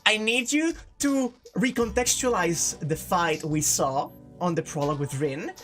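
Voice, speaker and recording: Lower-pitched woman reading a game aloud. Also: a little slow, a bit bright. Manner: informal, sweary, jokey asides. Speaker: host of a game playthrough. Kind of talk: reading a visual novel aloud with casual commentary. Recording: music playing behind the voice. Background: game music.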